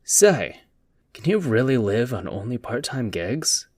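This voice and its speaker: A man's voice: medium pitch, sing-song, a little slow, darkish tone, no echo, clean studio sound. A man playing a sardonic, prying teenage boy in an anime dub, teasing and nonchalant. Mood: sarcastic. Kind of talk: anime fan dub acting.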